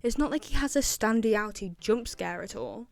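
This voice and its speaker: A woman's voice: a little high, expressive, normal speed, creaky, no room sound. A woman presenting casual fan commentary in an enthusiastic way, repeating phrases for emphasis. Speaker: video presenter.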